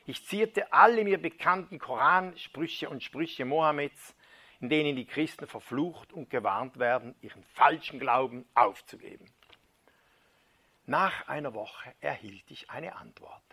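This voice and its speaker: A man with a fairly high, sharply bright voice delivers a sermon by retelling a first-person testimony. His reading is dramatic, sinking into quiet, breathy near-whispers at the tense moments before he rises again. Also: a little slow.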